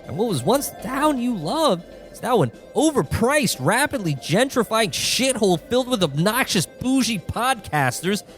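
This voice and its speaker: A man's voice: high-pitched, very animated, normal speed, hardly any pauses, a bit bright, faint music behind. A man playing an exasperated guardian angel who explains things condescendingly in a radio-play parody. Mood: mock-solemn. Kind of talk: parody of old-time radio drama.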